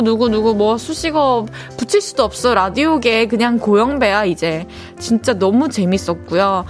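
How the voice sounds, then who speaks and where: Female radio host, playful and easygoing, soft music underneath, mid pitch. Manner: playful, laughs easily, coaches dialect. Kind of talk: casual radio chat.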